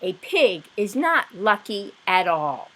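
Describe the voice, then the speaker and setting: A woman's voice: medium pitch, very animated, slow, sharp tone, exaggerated voice. A woman voicing a stern, disapproving older sister and neighbour in a children's storybook, curt and indignant.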